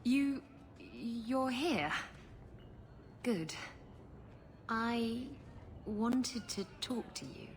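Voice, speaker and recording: An actress playing a brash, roguish pirate swindler in a video game, sassy and cheeky in manner. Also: female voice, on the high side, expressive, drawn-out words, pauses often, faint music behind. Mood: warm, wry.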